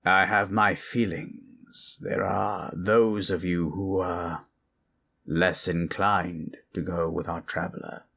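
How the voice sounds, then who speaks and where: A man voicing a grave, learned monstrous elder in a fantasy audio roleplay, speaking in a gravelly, husky voice with a measured, formal manner. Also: sits low, flat delivery, sharp tone, exaggerated voice. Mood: solemn, measured.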